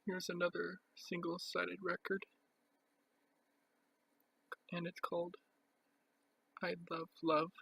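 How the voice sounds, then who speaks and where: Guy showing off his collection, kinda high flat voice, halting, lots of pauses. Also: vocal fry, husky, low-quality recording. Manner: halting, piecemeal delivery with pauses. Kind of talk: casual show-and-tell narration.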